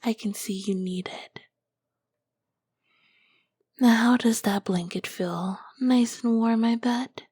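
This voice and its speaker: Slow, breathy whispering succubus, acted by a woman. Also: on the high side, dark tone, dry recording, clean studio sound. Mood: soothing, affectionate. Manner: slow, soothing, doting endearments. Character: ancient nurturing succubus queen, tender demon woman. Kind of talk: ASMR roleplay.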